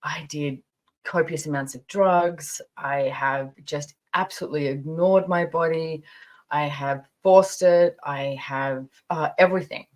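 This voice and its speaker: A woman's voice: low voice, measured pace. A woman in her fifties hosting a podcast, telling personal stories casually in a chatty, emphatic way, repeating phrases for stress. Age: 50s. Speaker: podcast host. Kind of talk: casual personal storytelling monologue.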